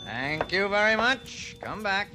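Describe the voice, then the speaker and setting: An actor playing a chatty, proud small-town diner owner who is eager to please, in the style of 1940s radio drama. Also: male voice, high voice, animated, slow. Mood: apologetic, affable. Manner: folksy, chuckling, effusive with customers. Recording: old radio broadcast with music underneath.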